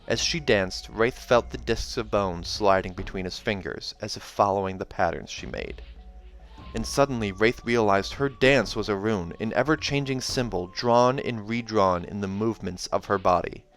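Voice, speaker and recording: A man reading a fantasy novel aloud in an expressive narrating style, as a reader who also comments casually. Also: lowish voice, moderate pace, faint music behind.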